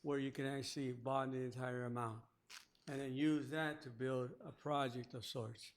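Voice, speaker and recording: A man's voice: medium pitch, fairly even intonation, moderate pace. Cautioning male board member. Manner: cautionary, explanatory, thinking aloud. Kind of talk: questioning at a public meeting.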